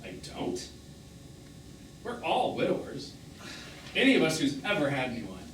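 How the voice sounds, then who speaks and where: A man's voice: fairly high pitch, huge pitch swings, a little slow, a bit bright, exaggerated voice. Echoey, distant actor as wounded middle-aged man. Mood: indignant. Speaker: stage actor. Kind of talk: live stage drama acting. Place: small theatre, recorded from a distance. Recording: echoey, noisy stage performance recorded from afar.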